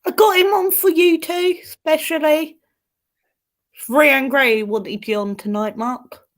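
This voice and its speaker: An English woman with a fairly high voice hosts a relaxed live stream from home. She chats easily, greets viewers by name and reads cards for them. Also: measured pace. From England. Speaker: host and card reader. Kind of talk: casual live-stream chat and card readings.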